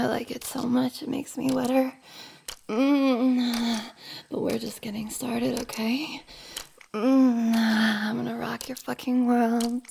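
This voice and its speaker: A woman playing a teasing, dominant young roommate in an intimate audio roleplay, speaking slowly and often in a whisper. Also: on the high side, a little mellow, exaggerated voice. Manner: slow, husky, often whispering.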